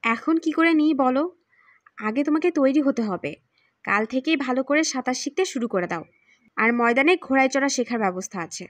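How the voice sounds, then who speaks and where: A woman voicing a calm, teasing older adventurer uncle in an audiobook, patient and bantering. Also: high voice, fairly quick, no echo. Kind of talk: audiobook character acting.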